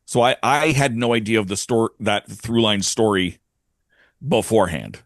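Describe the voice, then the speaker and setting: A man's voice: on the low side, lively intonation, normal speed, no room sound, studio quality. A man on a podcast panel talking casually through his review.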